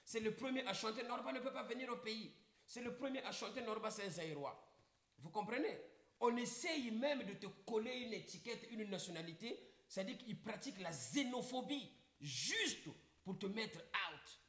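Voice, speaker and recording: A man's voice: high voice, expressive, normal speed, a bit bright. A middle-aged man from the Republic of the Congo with a Congolese French accent, speaking emphatically on a live stream, often repeating his points and asking the listener questions. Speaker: livestream guest. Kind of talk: impassioned live talk. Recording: echoey room.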